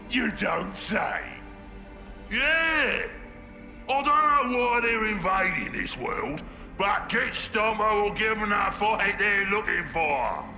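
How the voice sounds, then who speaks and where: A gravelly shouting ork warlord. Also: male voice, sits high, heavily acted. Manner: bellowing, sly and scornful. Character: scheming brutish ork warlord, gruff. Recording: roomy game cutscene audio with music.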